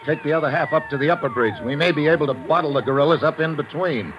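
An actor playing a tough, wisecracking American secret agent in a vintage radio adventure, clipped and matter-of-fact. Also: male voice, a little high, quick pace, background noise, close to an everyday voice. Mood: brisk, steady. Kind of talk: 1950s radio adventure acting with first-person narration. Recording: dull old radio recording, music behind.

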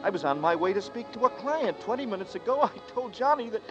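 A man's voice: high-pitched, big pitch swings, fast. An actor playing a dazed man who narrates his own ordeal with tense, brooding delivery in 1940s radio drama style. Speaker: narrator and lead. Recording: muffled old radio broadcast with music.

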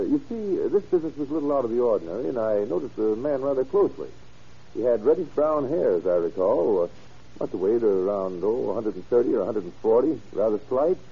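Dull vintage radio sound carries an obliging small-town banker. His voice is husky and creaky, and he talks hesitantly, thinking aloud as he recalls details in old radio drama manner. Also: medium pitch, lively intonation, fairly quick. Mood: musing, obliging.

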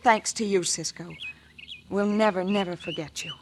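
An actress playing a friendly, earnest rancher's daughter in an old radio western. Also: female voice, medium pitch, fairly even intonation, moderate pace, close to an everyday voice. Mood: earnest, pleased. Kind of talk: 1940s-50s radio western acting. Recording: noisy old radio recording.

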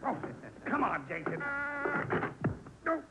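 An American actor playing a smug, sarcastic landlord who is quick to turn mean in a 1940s radio comedy. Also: male voice, high voice, very animated, exaggerated voice. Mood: grudging, sarcastic. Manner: sarcastic, gloating. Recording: noisy old radio broadcast recording.

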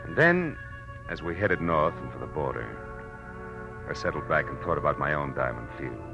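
Very low-voiced hard-boiled American gumshoe, jaded and terse, old radio noir, muffled recording. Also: male voice, very animated, moderate pace, exaggerated voice. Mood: reflective, weary. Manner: terse, cynical, tough-talking. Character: hard-boiled, world-weary male private detective narrator. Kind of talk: 1940s radio drama acting, noir narration. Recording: band-limited old radio recording with music.

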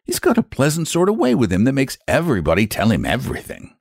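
A man voicing a sensible, kindly country mother in a storybook, homely, rustic and gently persuasive. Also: mid-range voice, wildly swooping pitch, fast talker, vocal fry, husky, no room sound, clean studio sound, voice pushed up, heavily acted. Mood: kindly, reassuring. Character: sensible, kindly country mother, soft-hearted shepherd's wife.

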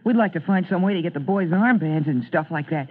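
An actor playing an earnest, anxious schoolboy in an old-time radio drama, speaking haltingly. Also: medium pitch, close to an everyday voice. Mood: eager, uneasy. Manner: halting, hesitant. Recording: muffled old radio recording, narrow band.

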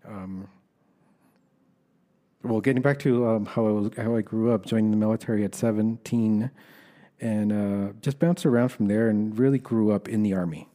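A man's voice: fairly low pitch, fairly even intonation, normal speed, mellow. A middle-aged man from Los Angeles with an American accent, telling stories about himself in a candid, conversational way as an interview guest. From Los Angeles, United States. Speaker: guest, army veteran.

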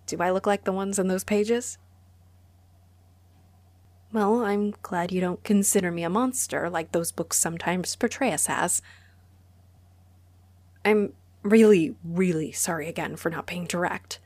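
A woman's voice: lowish voice, a little slow, long pauses, darkish tone, no echo. A woman playing a friendly, easily flustered vampire who runs a cozy cafe, chatty and apologetic, in a roleplay audio drama spoken to the listener. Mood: proud, playful, then shy, awkward.

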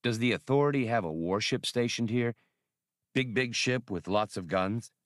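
A man's voice: medium pitch, moderate pace, dry recording, clean studio sound, close to an everyday voice. A man reading an audiobook plays a brusque, hot-tempered smuggler pilot with a curt, slangy, snappish delivery. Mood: probing. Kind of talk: audiobook character voice.

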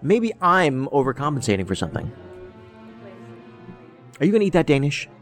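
A man's voice: fairly high pitch, sing-song, normal speed, fairly bright tone, faint music behind. A man playing a quirky, tactless federal agent in an audio drama, talking in a rambling, pedantic, matter-of-fact way. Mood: blunt, analytical.

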